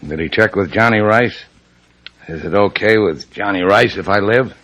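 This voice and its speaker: An actor playing a tough, laconic, sardonic boat skipper with an American accent, in the style of old radio drama. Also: male voice, fairly low pitch, moderate pace, a bit bright, muffled recording. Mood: wry, suspicious. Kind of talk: 1940s-50s American radio drama acting.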